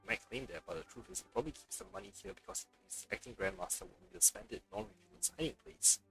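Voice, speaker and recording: A man's voice: mid-range voice, big pitch swings, very fast, creaky, a bit bright, soft background music, poor audio. A man doing a live game playthrough, reading dialogue aloud and adding casual offhand comments. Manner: casual offhand remarks mixed with read-out dialogue. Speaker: game streamer.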